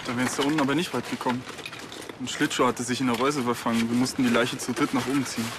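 An actor playing a plain-spoken, defensive diving instructor in a TV crime comedy, matter-of-fact and protesting. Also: male voice, mid-range voice, fairly even intonation, rapid-fire, heavy background noise, close to an everyday voice.

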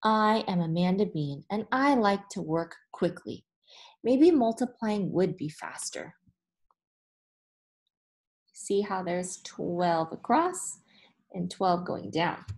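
A woman in her thirties, a teacher reading a storybook aloud to children with deliberate phrasing and expressive emphasis. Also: on the low side. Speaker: elementary school teacher. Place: small indoor room with whiteboard.

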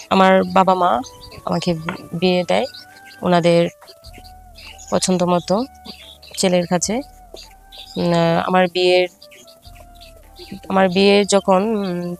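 Middle aged bangladeshi bengali woman telling her life story, kinda monotone n creaky, stop-start, music behind. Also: lowish voice. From Faridpur, Bangladesh. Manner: halting, repetitive, with frequent filler phrases. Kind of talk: first-person life story narration.

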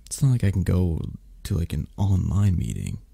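Male actor as ailing mafia boss lover, low, dark husky voice, halting, grumbling, needy, ASMR roleplay. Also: very animated, no room sound, heavily acted. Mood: weary complaining. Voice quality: soft, breathy, raspy. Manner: halting, sighing, plaintive. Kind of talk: ASMR romance roleplay acting.

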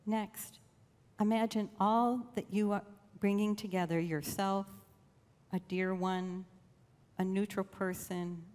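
A woman leading a guided meditation in a slow, gentle, soothing way with long pauses. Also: lowish voice. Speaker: church meditation leader. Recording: long reverb of a large room.

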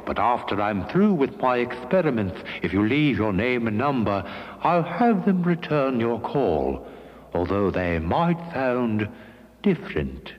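A man's voice: medium pitch, big pitch swings. A man doing a comedy impression of a macabre, ominously courteous old horror-film host. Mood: ominously polite. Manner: slow, ominously polite. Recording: muffled tape, long cavernous reverb.

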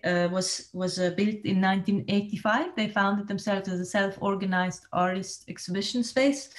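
A woman in her forties speaks into a laptop webcam from a small room at home. She talks in a thoughtful, searching way, with frequent ums and restarted phrases, like someone presenting to colleagues online. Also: mid-range voice. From Switzerland. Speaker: art space director. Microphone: laptop webcam on video call.

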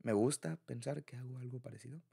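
Husky young Mexican man, confiding. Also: mid-range voice, fast, warm tone. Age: young adult. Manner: informal, confiding, slangy. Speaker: audio roleplay creator speaking to a partner. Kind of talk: intimate conversational ASMR boyfriend roleplay.

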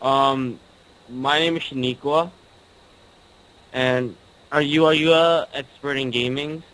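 A man's voice: mid-range voice, steady tone, takes it slowly, a bit bright, poor audio. A young man making a casual prank call over a computer, speaking hesitantly. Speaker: prank caller.

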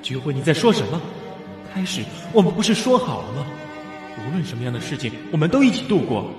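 A man's voice: fairly high pitch, very animated, quick pace. An actor in a radio drama playing a protective, anguished husband who soothes his wife. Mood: remorseful. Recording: echoey hall reverb, music behind.

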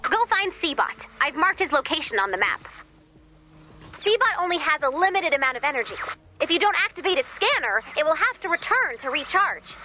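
A woman voicing an excitable, scatterbrained female robot assistant in a video game, babbling cheerfully and awkwardly. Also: high-pitched, brisk, a little hiss or hum, exaggerated voice. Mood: informative, chipper. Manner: chirpy, babbling, repeats words, awkward. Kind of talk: video game character dialogue.

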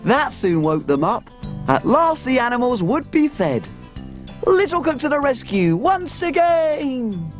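A lively storytelling little cook. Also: female voice, high voice, unhurried, soft background music. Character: small cook telling her own farm adventure. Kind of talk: storybook narration for children.

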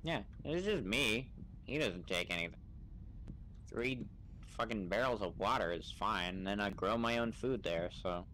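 A man's voice: fairly low pitch, animated, normal speed, bright, forceful tone, slight background noise, rough recording. A man talking casually in character in an online role-playing game over a voice chat microphone.

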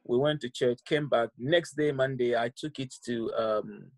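A middle-aged pastor from Ghana with a Ghanaian accent, telling his story in a measured, reflective way over a video call from home. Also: mid-range voice, no room sound. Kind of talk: personal testimony on a video call. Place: home room indoors.

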